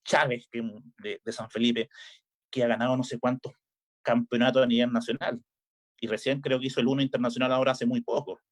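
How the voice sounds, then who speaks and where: Middle-aged Chilean coach, speaking in a relaxed interview, halting and full of fillers. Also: male voice, mid-range voice. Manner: hesitant, many filler "eh"s and restarts. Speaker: volleyball coach.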